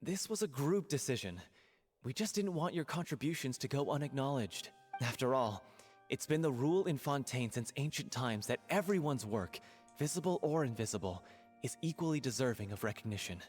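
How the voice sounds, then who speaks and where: A man voicing a genial theatre director in a game, announcing and then calmly soothing. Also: medium pitch, moderate pace, faint music behind, natural voice.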